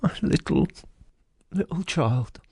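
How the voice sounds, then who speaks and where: Woman acting storybook father, very deep for a woman, husky, tender, grieving, halting. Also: warm tone, no room sound, studio quality, full character voice. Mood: breaking down. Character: grieving, tender middle-aged working-class father. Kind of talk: dramatised audiobook reading.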